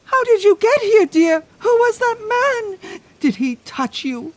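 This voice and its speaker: A woman's voice: extremely high pitch, sing-song, darkish tone, dry recording, exaggerated voice. A woman playing a frantic, fretful mother. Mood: distraught. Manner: fretful, pleading, quick to panic. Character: frantic, anxious middle-aged mother searching for her daughter. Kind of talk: audio drama acting. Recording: music playing behind the voice.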